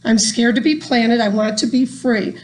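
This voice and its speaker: A woman's voice: fairly high pitch, steady tone, normal speed, lightly acted, poor audio. A woman voicing a small male seed from a children's storybook, in a pleading, dramatic manner. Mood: frightened, pleading.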